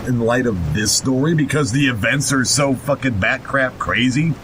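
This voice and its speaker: A man co-hosting a podcast, bantering irreverently and reading a horror story aloud in a casual, joking way. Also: lively intonation. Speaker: podcast co-host. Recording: rumbling, rushing background noise.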